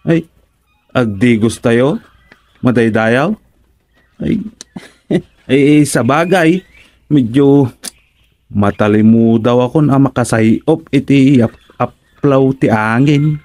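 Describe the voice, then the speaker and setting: An actor with an Ilocano accent playing a timid, whining soldier in a radio comedy, full of sighs and wails. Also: male voice, mid-range voice, slow, long pauses, a little mellow, close to an everyday voice. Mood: hesitant. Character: timid, cowardly, whining male soldier serving his king.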